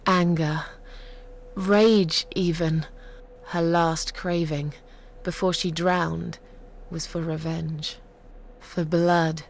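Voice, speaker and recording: Actress as a gravelly, deliberate female teller of dark legends. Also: on the low side, little pitch movement, slight background noise. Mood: menacing. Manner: slow, deliberate, often dropping to a whisper. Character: sardonic, eerie woman telling a dark cat legend. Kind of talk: dramatic horror storytelling.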